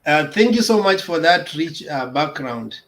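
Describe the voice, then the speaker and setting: A man hosting an interview, introducing his guest hesitantly with many pauses. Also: fairly high pitch, expressive, a bit bright. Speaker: interviewer and host.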